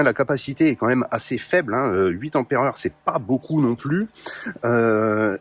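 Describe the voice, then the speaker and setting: A man casually presenting his radio kit to viewers, talking in a relaxed way with many pauses and fillers. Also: medium pitch, breathy edge. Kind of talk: casual hands-on gear demo.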